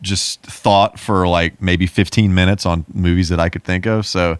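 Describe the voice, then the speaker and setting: A man with a fairly low voice talks casually as a podcast co-host. He has a jokey, mock-grandiose way with his listeners. The sound is close and dry, with no room on it. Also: steady tone, moderate pace, clear, resonant tone, dry recording.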